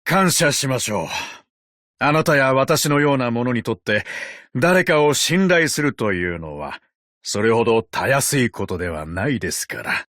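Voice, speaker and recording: A man voicing a courteous, ancient tin man agent in a video game, calm and sardonic, with a polite, measured, quietly teasing delivery. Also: mid-range voice, dry recording, studio quality, close to an everyday voice. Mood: calm, nostalgic. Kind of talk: Japanese video game character voice lines.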